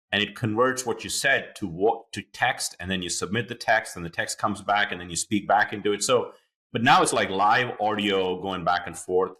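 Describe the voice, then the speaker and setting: A man's voice: on the low side, sharp tone. A man hosting an interview podcast, explaining things at length in an enthusiastic, chatty way with many fillers. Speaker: podcast host. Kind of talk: conversational interview.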